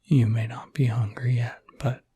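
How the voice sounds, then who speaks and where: Guy doing a kind easygoing werewolf for asmr, super breathy whisper, really slow and soothing, close dry sound. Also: mid-range voice, little pitch movement, mellow, dry recording. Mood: caring, reassuring. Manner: soothing, halting, unhurried. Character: gentle, reassuring adult male werewolf caretaker, easygoing. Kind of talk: ASMR roleplay, intimate whispering.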